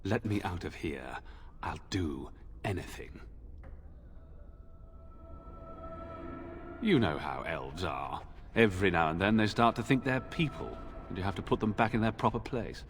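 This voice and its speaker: Haughty deep-voiced imprisoned noble. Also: male voice, lively intonation, moderate pace. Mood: sneering contempt. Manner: haughty, contemptuous. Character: arrogant, bigoted noble heir locked in a dungeon. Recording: long hall reverb, background noise.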